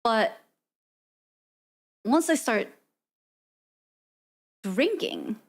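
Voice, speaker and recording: Lively high-voiced woman streamer from Korea. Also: drawn-out words, creaky. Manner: chatty, many fillers and laughs. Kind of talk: casual chat with viewers.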